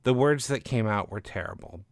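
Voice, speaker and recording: A man's voice: medium pitch, lively intonation, normal speed. A man reading scripted first-person narration as the author and narrator of a short story.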